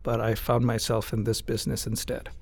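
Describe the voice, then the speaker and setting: A man's voice: medium pitch, monotone, fairly quick. A man talking casually as a guest on a podcast. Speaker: podcast guest, strategy executive. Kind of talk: casual chat.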